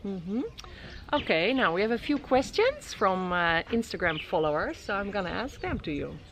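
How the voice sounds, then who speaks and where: A Dutch woman in her thirties, speaking English with a Dutch accent, gently interviewing her daughter for a family vlog at a safari camp. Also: mid-range voice, big pitch swings, normal speed, slight background noise. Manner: gentle, encouraging questioner with soft fillers. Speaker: vlogger and mother. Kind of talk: casual interview with her child. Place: outdoors at a tented safari camp. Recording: outdoor birdsong in background. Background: birdsong.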